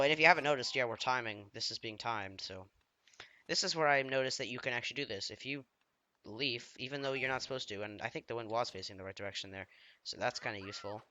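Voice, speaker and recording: A man with a slightly hoarse voice from feeling unwell, giving rambling, self-deprecating commentary while he plays a video game. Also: medium pitch, sharp tone. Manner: rambling, self-deprecating, trailing off mid-sentence. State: slightly hoarse, under the weather. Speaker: let's play gamer. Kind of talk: casual gameplay commentary.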